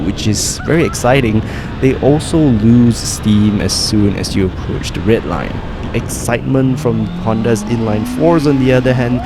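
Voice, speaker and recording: A man with a Malaysian English accent reading a scripted, enthusiastic motorcycle review voice-over. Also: medium pitch. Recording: voice-over over music.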